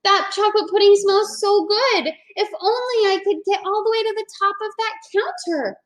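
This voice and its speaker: A woman's voice: very high voice, normal speed, far from the mic, pitched above the performer's own voice. Goldilocks, whiny little girl played by a woman. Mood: greedy. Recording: echoey room.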